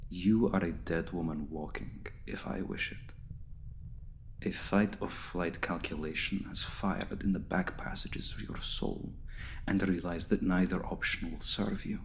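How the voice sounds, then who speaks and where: An ancient male vampire, acted by a man, speaks in a low, creaky, gravel-edged voice. He is seductive and condescending, deliberate in his hypnotic phrasing, often dropping to an intimate murmur. It is an ASMR roleplay with a faint layer of noise behind the voice. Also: fairly even intonation. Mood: seductive, commanding.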